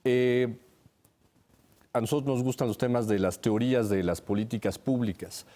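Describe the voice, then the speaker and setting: A Mexican-accented man speaks as an analyst in a TV discussion. His voice is mellow and sits at a middle pitch. He lays out his points methodically, one after another. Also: steady tone, normal speed. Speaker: security analyst on a panel. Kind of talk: TV panel discussion, analytical commentary.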